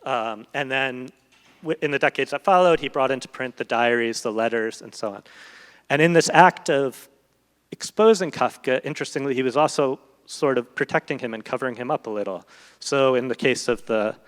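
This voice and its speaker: A man speaking as a guest translator in a literary discussion, explaining thoughtfully in long, halting sentences. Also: mid-range voice, a bit bright. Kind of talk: literary discussion before an audience. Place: large event hall, live public talk. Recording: echoey hall with long reverb.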